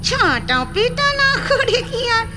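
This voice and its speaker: A menacing, mocking ghost woman whines through her nose in an extremely high, wildly swooping voice. She drags out her taunts for an audio ghost story, with loud music behind her. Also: sing-song, full character voice. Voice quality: nasal. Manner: slow, taunting drawl.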